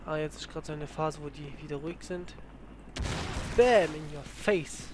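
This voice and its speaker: Rambling young German gamer, crude. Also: male voice, a little high, moderate pace, background noise. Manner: rambling, crude slang, loud outbursts. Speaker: let's play host. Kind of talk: casual gaming commentary. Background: game music and sounds.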